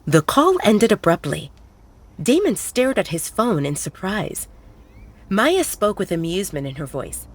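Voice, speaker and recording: A woman's voice: mid-range voice, big pitch swings, a little slow. A woman reading scripted, dramatic audiobook narration as the storyteller.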